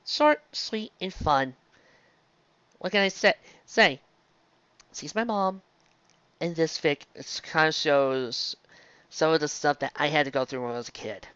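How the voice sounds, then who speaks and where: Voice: mid-range voice, unhurried, pauses often, a bit bright, clear, resonant tone, dry recording. A reviewer giving a casual, rambling monologue, digressing often and stumbling into restarts mid-phrase. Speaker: fan fiction reviewer. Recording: dull lo-fi close dry recording.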